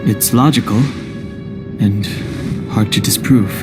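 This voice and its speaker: An actor playing a calm, logical, reserved young man in a video game cutscene, measured and matter-of-fact. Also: fairly low pitch. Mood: calm, pensive. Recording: orchestral music behind the voice.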